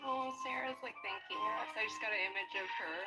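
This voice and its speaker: A woman chatting casually and excitedly, exclaiming in an emotive way. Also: mid-range voice, fast talker, loud music behind the voice.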